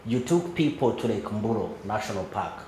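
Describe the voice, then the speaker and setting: Podcast host guy, lowish voice, keeps saying mm while the guest talks, echoey room. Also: very animated, normal speed, slight background noise. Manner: encouraging, frequent backchannel "mm", gentle prompting. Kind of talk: interview hosting.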